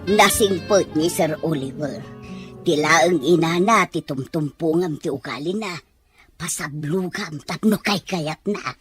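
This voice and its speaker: A woman's voice: sits low, unhurried, soft background music. An actress playing an older female household servant in a radio drama, kindly, teasing and given to grumbling. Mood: muttering. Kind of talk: Filipino radio drama acting.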